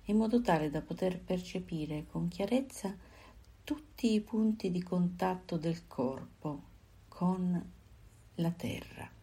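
A woman guiding a body scan meditation, giving calm, unhurried instructions in short phrases with pauses. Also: lowish voice, slightly rough. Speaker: meditation guide.

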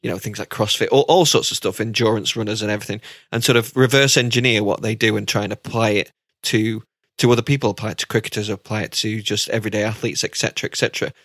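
A man's voice: lowish voice, fairly even intonation, fast talker, a bit bright, dry recording. Chatty male podcast host, dry sound. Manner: upbeat, chatty, with fillers. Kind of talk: casual podcast chat.

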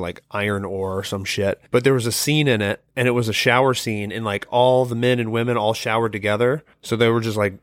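A man's voice: medium pitch, dry recording. Joking young man, close dry sound. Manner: joking, rambling. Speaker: podcast co-host. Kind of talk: casual chat.

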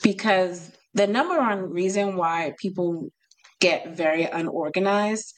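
Laughing New York woman, lowish voice. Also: poor audio. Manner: laughs often, talks in bursts with pauses. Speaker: guest, professional home organizer. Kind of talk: casual podcast conversation.

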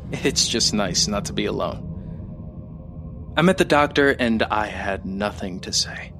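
A man playing a lonely, weary survivor broadcasting after an apocalypse in an audio drama, musing in a halting, understated, resigned way. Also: mid-range voice, natural voice. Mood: wistful, weary. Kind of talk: audio fiction monologue. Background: music.

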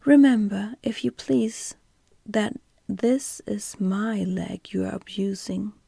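A woman's voice: medium pitch, slow, creaky, dark tone, breathy edge, dry recording, studio quality, heavily acted. A woman voicing a touchy, easily offended wooden man with a pumpkin head, in a whispered storybook reading. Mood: indignant. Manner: whispered, indignant.

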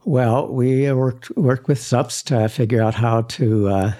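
An older man speaking as an interview guest, halting with many fillers and soft chuckles. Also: medium pitch. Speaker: guest, service club member. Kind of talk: casual interview chat.

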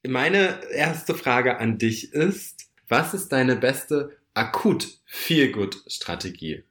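A man's voice: mid-range voice, expressive, slow, a bit bright, reverberant. A man co-hosting a casual chat podcast, talking chattily and mocking himself a little while recovering from a cold. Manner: chatty, self-mocking, mixes in English words. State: has a cold. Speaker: podcast co-host.

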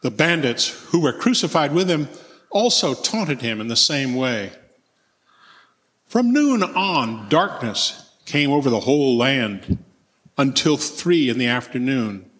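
A man narrates scripture at a church reading in a solemn, measured way. His pitch is medium and his pace is slow. Long reverb from a large space surrounds his voice. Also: very animated, fairly bright tone.

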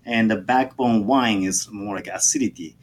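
Japanese-accented male interviewee, lower pitch, hesitant and halting delivery. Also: breathy edge. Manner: halting, many fillers and restarts. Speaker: sake brewer. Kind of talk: interview answers.

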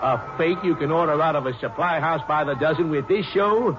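A slick carnival showman acted by a man, florid and pompous, full of mock courtesy. His voice sits fairly high, in a dull old radio-drama recording with music. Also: fairly quick, natural voice. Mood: smooth-talking. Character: wordy, evasive, slick male carnival showman. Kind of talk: 1950s radio drama acting.

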